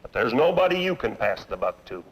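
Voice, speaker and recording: A fed-up, accusing car owner. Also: male voice, mid-range voice, sing-song, a little fast, husky, rough recording. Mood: angry. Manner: blunt, accusing, sarcastic. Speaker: dissatisfied customer. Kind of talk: 1950s training film acting.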